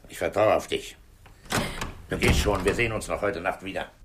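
A man playing a calm, authoritative police inspector, terse and deliberate, in a 1960s German radio drama. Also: low voice, over loud music, close to an everyday voice. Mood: reassuring, firm. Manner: terse, commanding, unhurried, deliberate.

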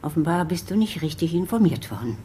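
A woman informant speaks gravely in a radio crime drama. Her voice is very low and a little hesitant. A faint rumble sits behind her. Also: expressive, a little fast, warm tone. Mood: grave, hushed. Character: grave woman informant revealing a family tragedy.

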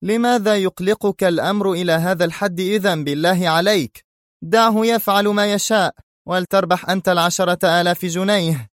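A man's voice: mid-range voice, very animated, a little fast, dry recording, clean studio sound, pitched above the performer's own voice, lightly acted. A man voicing a fidgety, nervous associate who speaks in a tense, edgy way.

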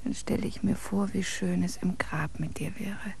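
An actress in a German radio drama playing a devoted, possessive, melancholy young bride with intense theatrical delivery. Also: female voice, on the low side, fairly even intonation, normal speed, vocal fry, whispered. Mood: hushed, morbid longing.

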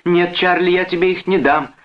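A man's voice: medium pitch, animated, moderate pace, dull audio, pitched above the performer's own voice, lightly acted. A man voicing an anxious, protective young wife with a pleading, whining, agitated delivery in an audiobook. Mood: desperate insistence.

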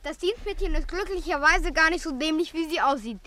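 A woman's voice: high-pitched, expressive, brisk, sharp tone. A woman playing an eager, breezy young schoolboy in a 1950s radio play. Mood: breezy, confiding. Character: eager young schoolboy, keen follower of the gang.

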